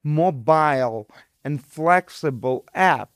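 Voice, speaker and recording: A man's voice: fairly high pitch, expressive, slow, clear, resonant tone, dry recording. A middle-aged man from Ukraine with a Ukrainian accent, explaining things emphatically in a conversational interview as a guest surgeon.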